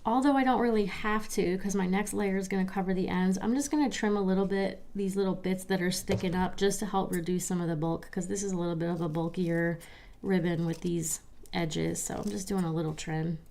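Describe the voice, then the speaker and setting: A woman from Baltimore hosting a crafting livestream, chatting softly and a little stuffy as she reads viewers' comments. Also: lowish voice, brisk, vocal fry. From Baltimore, Maryland. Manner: relaxed, chatty, reads viewer comments aloud with small laughs. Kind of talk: soft-spoken live crafting chat.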